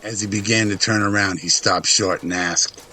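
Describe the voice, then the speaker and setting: A man's voice: on the low side, steady tone, moderate pace, pushed and bright. A man reading scripted dramatic narration as the narrator of a story.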